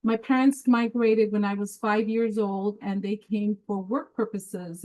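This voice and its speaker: A woman from Peru presents to an online community group in a casual, chatty way, with plenty of ums and restarts. She speaks at a middle pitch over a video call. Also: poor audio. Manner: warm, chatty, with laughs and filler words. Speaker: webinar presenter.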